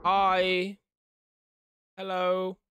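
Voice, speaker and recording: Slow-talking young man reacting, slightly high-pitched. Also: completely flat delivery, background noise. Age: young adult. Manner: jokey, exclaiming in dread. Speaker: video creator reacting to horror. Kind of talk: casual reaction commentary. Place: small room at home. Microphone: large desktop condenser microphone up close.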